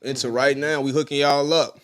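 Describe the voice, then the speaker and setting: Young guy hosting a live show, slangy, loud teasing banter, mid voice. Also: mid-range voice, fairly even intonation, fairly quick, dry recording. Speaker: show host. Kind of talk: casual live-stream chat.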